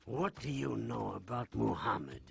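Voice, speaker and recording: An actor playing a stern, threatening, imperious master in a cartoon, with a gravelly voice. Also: male voice, fairly low pitch, big pitch swings, moderate pace, a little hiss or hum, exaggerated voice. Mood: menacing. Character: stern, menacing idol-worshipping master, villain.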